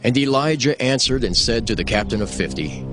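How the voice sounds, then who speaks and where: A man narrating scripture in a solemn, measured, scripted storytelling style. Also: medium pitch, expressive, over music. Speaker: Bible narrator.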